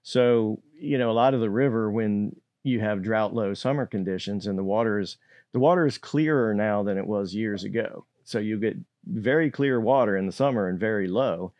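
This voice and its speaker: A man's voice: lowish voice, a little mellow, dry recording. An older man, a state fisheries manager, giving careful answers in a podcast interview, with frequent fillers and restarts. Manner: measured, hesitant, many fillers and restarts. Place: indoor podcast studio. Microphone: boom-arm studio mic.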